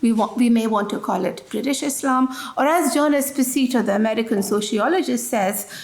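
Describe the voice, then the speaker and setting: A woman's voice: a little high, fairly quick, reverberant. A woman giving a public history lecture in a conversational way, often restarting phrases and adding "you know". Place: lecture hall.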